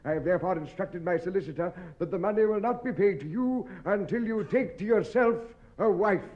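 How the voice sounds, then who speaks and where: Actor as high-voiced, solemn solicitor. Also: male voice, darkish tone, lightly acted. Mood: prim, official. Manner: formal, measured, mournful. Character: fussy, formal male family solicitor, solemn and sentimental. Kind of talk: 1950s British radio sitcom acting. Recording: dull, muffled old radio recording.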